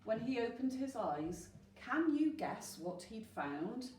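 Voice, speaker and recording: A woman reading a rhyming children's story aloud, expressive and putting questions to the listeners. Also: fairly high pitch, slow. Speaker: storyteller at a school. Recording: roomy, distant mic with faint noise.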